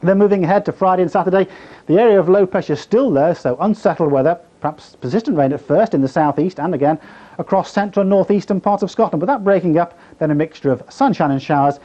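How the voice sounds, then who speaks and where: A man's voice: high-pitched, fast talker, mellow. A middle-aged man presenting a television weather forecast in a studio. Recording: dull archive VHS sound.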